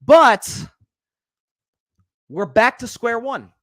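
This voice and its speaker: A young man hosting a solo sports talk show, talking quickly and animatedly, often repeating words as he builds a point and reading out viewer comments. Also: very high-pitched, animated, a bit bright, no room sound, clean studio sound.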